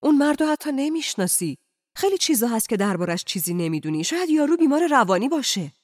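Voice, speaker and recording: A woman's voice: on the low side, darkish tone, no echo, studio quality, lightly acted. A woman voicing an agitated middle-aged husband in a novel, insistent and reproachful. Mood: agitated, scornful. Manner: insistent, accusing, pleading.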